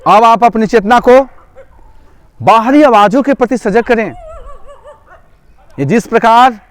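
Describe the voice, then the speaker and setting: High-pitched, clear-voiced male relaxation guide. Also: unhurried. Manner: repetitive, step-by-step instructing. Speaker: yoga nidra instructor. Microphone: microphone through a loudspeaker.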